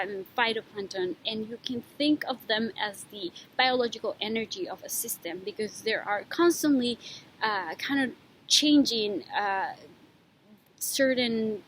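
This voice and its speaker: A young woman from Ecuador, a graduate student, explaining her research in a relaxed, thoughtful way, pausing often with fillers. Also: fairly high pitch, a little hiss or hum, rough recording. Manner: thoughtful, with frequent pauses and fillers. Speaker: PhD student. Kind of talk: informal talk about her research.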